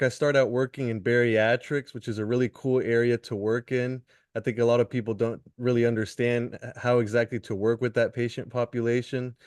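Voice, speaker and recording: Smooth-voiced man on a panel. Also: medium pitch, darkish tone, no room sound, studio quality. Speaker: dietitian panelist. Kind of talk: panel discussion on video call. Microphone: video call.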